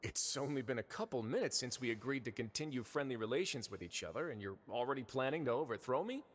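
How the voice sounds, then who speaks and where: An actor playing a shrewd, quietly commanding duke in a video game, measured and dryly teasing. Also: male voice, mid-range voice, expressive, natural voice. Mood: wry, amused. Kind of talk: dramatic video game voice acting. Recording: game music behind the voice.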